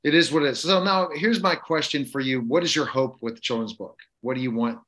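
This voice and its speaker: A man's voice: medium pitch, brisk, a bit bright. A male podcast host asking questions in a hesitant way with many fillers. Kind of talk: interview questions.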